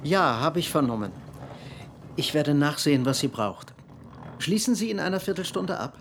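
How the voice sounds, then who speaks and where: A fussy male hatter addresses staff in a radio play. He is formal and controlled, giving orders with quiet authority. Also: on the high side, animated, moderate pace, a little hiss or hum. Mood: controlled, curt. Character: controlled, fussy male hat shop owner and boss.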